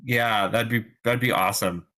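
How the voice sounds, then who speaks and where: A man's voice: mid-range voice, fairly even intonation, normal speed, pushed and bright. A man giving rambling, explanatory feedback in a casual group discussion of screenplays, often checking for agreement. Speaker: participant giving script feedback. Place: online meeting.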